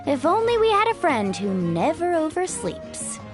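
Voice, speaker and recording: A woman's voice: high-pitched, wildly swooping pitch, moderate pace. A woman voicing a confident, playful, sisterly young female warrior in a video game. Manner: breezy, playful, self-assured. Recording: faint music behind. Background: faint music.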